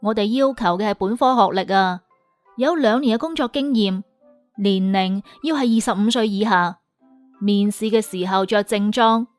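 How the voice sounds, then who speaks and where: A woman's voice: medium pitch, moderate pace, no room sound, faint music behind, natural voice. A woman playing a haughty, scathing female job interviewer in an audio drama, lecturing with cutting contempt. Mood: scornful. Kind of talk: Cantonese audio drama acting.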